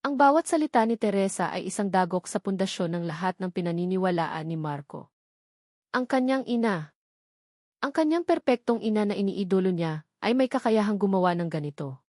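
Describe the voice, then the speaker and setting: A woman narrates a dramatic story from a script. Her voice sits at a middle pitch. The recording is dry, with very little room. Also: animated, brisk, a little mellow, no room sound.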